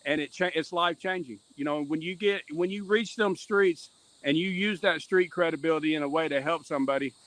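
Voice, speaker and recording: A man's voice: fairly high pitch, fairly quick, a bit bright, no echo. A man speaking earnestly as a podcast guest and mentor, with emphatic, repetitive, streetwise phrasing. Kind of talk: earnest personal testimony in conversation.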